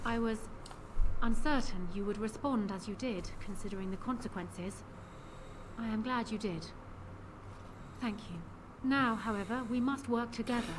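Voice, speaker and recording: A woman's voice: fairly high pitch, moderate pace, pauses often, lightly acted. A woman playing a proud, calculating young queen in a fantasy video game, speaking formally and with assertive composure. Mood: formal, grateful. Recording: game audio with clear background noise.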